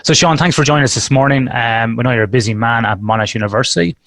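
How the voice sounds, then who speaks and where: A man's voice: medium pitch, steady tone, quick pace, fairly bright tone, no room sound. A man hosting an interview podcast, friendly and chatty, asking long, rambling questions. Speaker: podcast host. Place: Western Australia.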